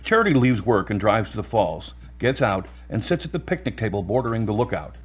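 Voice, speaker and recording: A man with a husky voice reading scripted narration for an audio drama. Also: lowish voice, big pitch swings, fairly quick.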